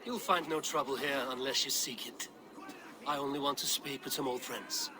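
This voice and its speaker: An actor playing a steadfast, grimly determined Norse warrior in a video game, speaking in blunt, terse phrases. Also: male voice, mid-range voice, normal speed, noisy background, close to an everyday voice. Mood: blunt, menacing. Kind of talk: dramatic video game acting.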